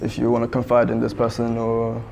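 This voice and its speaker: A man's voice: medium pitch, completely flat delivery, brisk, creaky, a little mellow, a little hiss or hum. A young man giving laid-back interview answers, full of fillers. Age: young adult. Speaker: student interviewee.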